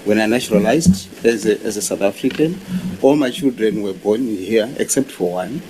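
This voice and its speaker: A man from Zimbabwe, a university director giving formal, measured testimony with hesitant restarts. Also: on the low side, noisy background. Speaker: university director answering a committee. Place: parliamentary committee room.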